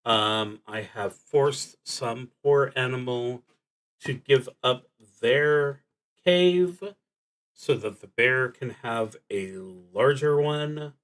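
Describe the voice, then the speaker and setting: A man with a mid-pitched voice talks through a solo roleplaying game. He speaks very slowly and deliberately, pausing often as he reads prompts aloud and thinks through his moves. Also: fairly bright tone. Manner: halting and deliberate, with long pauses. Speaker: solo tabletop roleplaying streamer.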